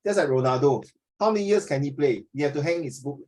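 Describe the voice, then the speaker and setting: A man's voice: a little high, animated, fast talker, darkish tone. A man in his fifties with a Singaporean accent, teaching an informal class discussion, often repeating himself and checking with questions. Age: 50s.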